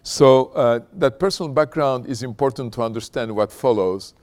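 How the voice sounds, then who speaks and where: A middle-aged man from Turkey, speaking informally to an audience as a guest speaker in a halting, conversational way, often adding "you know". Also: medium pitch, darkish tone. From Istanbul, Turkey. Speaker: company founder, guest speaker. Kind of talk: informal autobiographical talk to an audience.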